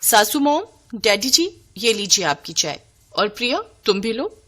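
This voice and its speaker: A woman's voice: on the high side, big pitch swings, a little slow, bright, forceful tone, slight background noise, exaggerated voice. A woman voicing a spoiled modern young daughter-in-law in a cartoon story, breezy, bossy and demanding.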